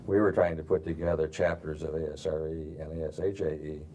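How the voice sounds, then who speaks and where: A man's voice: little pitch movement, fairly quick, warm tone. An older man from Ohio explaining things off the cuff as a panelist. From Ohio, USA. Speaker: panelist, former society president. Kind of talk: unscripted explanation. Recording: rumbly 1990s video recording.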